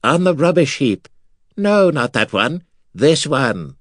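Talking skull, cheery and chatty, hollow fluty voice, bouncy swoopy pitch, read by a man. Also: very animated, takes it slowly, no echo, clean studio sound, full character voice. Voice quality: odd hollow, like a low flute. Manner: chatty, gloating, jokey. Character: cheery talkative male undead skull. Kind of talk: audiobook character voice.

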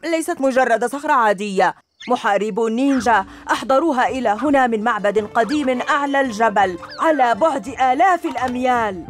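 Casting: woman as a bossy, taunting kid ninja villain, high voice. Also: lively intonation, brisk, soft background music, lightly acted. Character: boastful bratty girl ninja villain.

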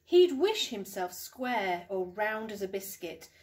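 Slow-paced middle-aged woman reading aloud. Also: medium pitch. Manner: expressive, deliberate storytelling with emphasised words. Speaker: parent reading a picture book to children. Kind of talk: storybook read-aloud for young children. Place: small living room at home.

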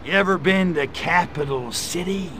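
An actor voicing a cynical, menacing little alien invader in a video game, sneering and full of wisecracks. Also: male voice, high voice, normal speed, background noise, lightly acted. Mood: wry. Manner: wisecracking, sneering, deadpan asides. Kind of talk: video game cutscene acting.

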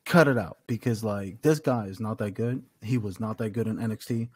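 A husky-voiced American man, recorded dry and close on a desktop mic. He argues his opinions with emphasis and plenty of slang, in the loose style of a podcast chat between friends. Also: fairly low pitch, fairly even intonation, fairly quick, mellow, dry recording. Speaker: podcast co-host. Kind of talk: casual wrestling review chat. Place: small room at home, on a video call. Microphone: desktop condenser microphone, close.

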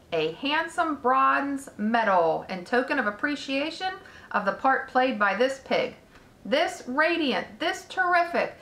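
A woman voicing a pompous male fair announcer from a storybook, giving a ceremonious, emphatic loudspeaker speech. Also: high-pitched, reverberant, pitched above the performer's own voice, full character voice.

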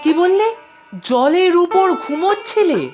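An exasperated young grandchild from a comic cartoon, nagging and full of questions. The voice is extremely high, with prominent music playing behind it. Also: female voice, expressive, a little slow. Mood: puzzled, nagging. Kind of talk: Bengali comic cartoon voice acting.

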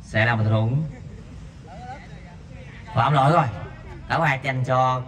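A man's voice: takes it slowly, a crowd in the background. Distant male amateur football commentator. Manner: reads viewer messages between plays, jumps sharply higher on shots. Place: outdoor floodlit five-a-side pitch at night.

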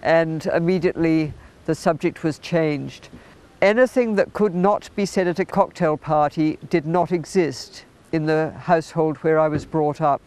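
An older woman author telling her story in a documentary interview, in a formal, measured way. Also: deep voice, darkish tone. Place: outdoors beside a house.

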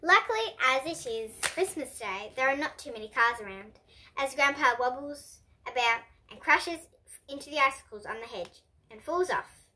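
A young girl reading a picture book aloud for children as an expressive storyteller who now and then stumbles over a word. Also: high-pitched, normal speed. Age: child, about 8 to 11. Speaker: host and reader of children's bedtime books. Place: indoor room with bookshelves.

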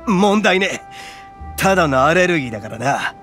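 A loud, cocky horned oni boss in a game, rough and full of swagger. The voice sits high, swings widely and rings bright over faint game music. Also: male voice, big pitch swings, normal speed. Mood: wheezing. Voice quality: rough, swaggering, calls himself grandly. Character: brash boastful horned oni gang boss, male adult. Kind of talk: Japanese game voice acting.

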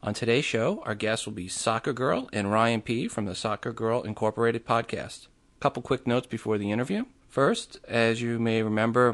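A man's voice: lowish voice, fairly bright tone. Matter-of-fact male podcast host. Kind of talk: interview podcast.

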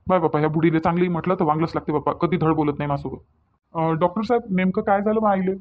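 A man's voice: on the high side, rapid-fire, highs cut off, a little hiss or hum, lightly acted. A man voicing an exasperated, worried grown son in a cartoon, folksy and fretful, with a Varhadi Marathi accent. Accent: Varhadi, Vidarbha Marathi. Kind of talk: cartoon dialogue.

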